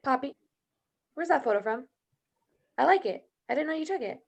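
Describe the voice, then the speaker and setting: A woman with a creaky voice playing a talking dog who was once a young woman, in a script table read. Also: high-pitched, lively intonation, normal speed, darkish tone. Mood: soft, fond.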